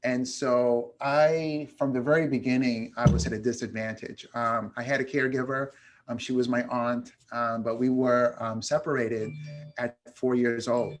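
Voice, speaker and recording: A man from New York, raised speaking Spanish, talks as a guest on an interview show. His voice sits at an ordinary middle pitch. He speaks casually and reflectively, pausing often to gather his thoughts. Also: flat delivery, faint music behind. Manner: reflective, many "um" pauses. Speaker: guest, education consultant.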